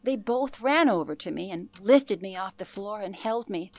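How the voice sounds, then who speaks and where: A woman's voice: medium pitch, expressive, normal speed, no echo. An actress playing an older former telephone operator, telling her past in an earnest, genteel way for a radio play. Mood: emotional recollection. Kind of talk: radio play, interview-style reminiscence.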